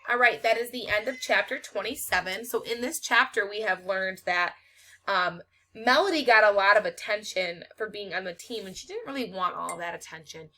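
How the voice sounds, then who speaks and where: Bright-voiced woman reading a story aloud. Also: medium pitch, normal speed, rough recording. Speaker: teacher reading aloud to students. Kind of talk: expressive read-aloud of a children's novel.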